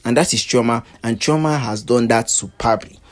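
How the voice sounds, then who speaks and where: A man's voice: medium pitch, moderate pace. A man hosting a gossip commentary monologue, speaking emphatically and repeating his points with rhetorical questions. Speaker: host of a celebrity gossip channel.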